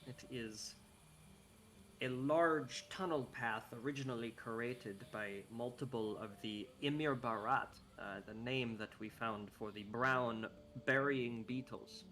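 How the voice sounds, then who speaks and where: A man's voice: mid-range voice, slow, pushed and bright. A man voicing a courteous, scholarly male desert guide in a put-on Icelandic accent, speaking formally and explaining at length.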